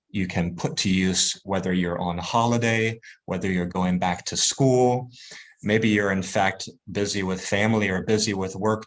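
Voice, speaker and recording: A man's voice: deep voice, poor audio. A man hosting an interview, introducing his guest with an enthusiastic, welcoming manner. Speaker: host. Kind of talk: podcast-style interview introduction.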